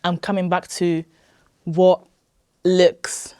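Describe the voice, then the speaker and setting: A woman's voice: fairly low pitch, monotone, slow pace, darkish tone, smooth, dry recording, clean studio sound. A woman in her twenties from Italy, chatting casually and emphatically as a guest in an interview. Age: 20s. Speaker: podcast guest, weight loss programme member.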